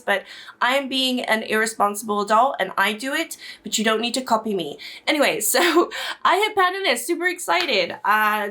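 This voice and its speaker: A woman's voice: on the high side, a bit bright. Chatty stuffed-up young woman vlogger. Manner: chatty, rambling, self-deprecating. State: stuffed-up nose from a cold. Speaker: beauty vlogger. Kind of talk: casual makeup chat to camera.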